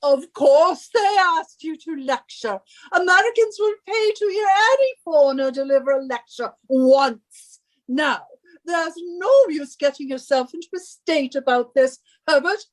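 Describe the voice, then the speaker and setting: A woman's voice: sits high, lively intonation, unhurried, low-quality recording. A woman playing an imperious, scornful, middle-aged British wife who scolds and gives orders in a radio suspense drama. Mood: scornful, commanding.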